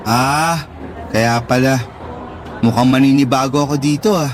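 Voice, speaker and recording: A man's voice: mid-range voice, measured pace, a faint crowd, lightly acted. A man acting an affectionate, pleading son who speaks respectfully to his mother in a radio drama. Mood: curious, uneasy.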